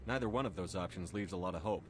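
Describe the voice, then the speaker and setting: An actor playing a steady, dutiful military commander in a video game, direct and composed. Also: male voice, low voice, fast, slight background noise, lightly acted. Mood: steady, concerned.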